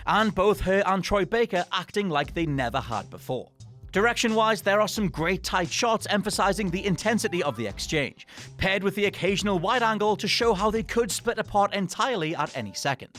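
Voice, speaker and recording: A man's voice: high-pitched, a bit bright. A man presenting a scripted countdown list as a narrator, with an emphatic, dramatic delivery. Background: music under the voice.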